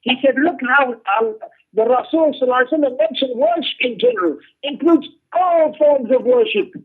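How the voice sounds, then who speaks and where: A high-pitched man gives a religious lecture over a narrow, telephone-like recording. He explains emphatically, circling back to repeat phrases and putting rhetorical questions to his listeners. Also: a little fast. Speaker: religious teacher giving a class. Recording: muffled narrow-band radio-like sound.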